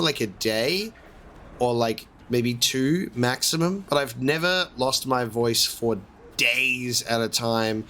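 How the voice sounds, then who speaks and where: Man, hoarse voice, let's play host, chatty, rambling, upbeat, faint background ambience. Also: medium pitch, bright, forceful tone, a little hiss or hum. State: hoarse from a lost voice. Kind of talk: casual gameplay commentary.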